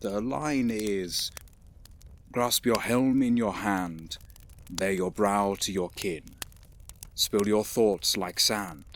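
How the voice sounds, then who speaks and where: A man playing a stern, devoted elven warrior in an audio drama, speaking formally and deliberately. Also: fairly low pitch, a little hiss or hum. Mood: earnest, tender. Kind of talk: scripted audio drama dialogue.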